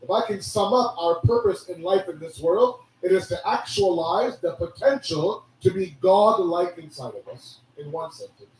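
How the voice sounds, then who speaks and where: A hoarse man giving a sermon, preaching with animated rhetorical questions. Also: sits high, moderate pace, few pauses. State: hoarse, losing his voice. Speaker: religious speaker. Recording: echoey hall from a distance.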